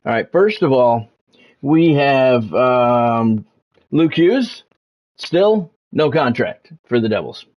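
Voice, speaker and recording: A man with a medium-pitched voice talks casually as a livestream host running through sports updates. His delivery is halting, and he often doubles back to repeat a word or phrase for emphasis. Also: animated, lo-fi sound. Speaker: livestream host, former radio play-by-play announcer.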